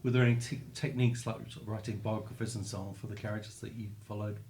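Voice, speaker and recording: A man's voice: fairly low pitch, level tone, quick pace, a little mellow. A man interviewing a writer in a conversational, musing and somewhat tentative way. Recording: faint background ambient noise.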